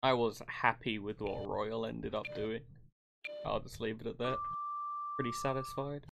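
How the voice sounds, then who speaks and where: A man's voice: fairly low pitch, steady tone, unhurried, creaky, background noise. A man chatting casually as a commentator on a game livestream. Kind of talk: casual chat over a game stream. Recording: game audio and music behind the voice. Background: game dialogue and music.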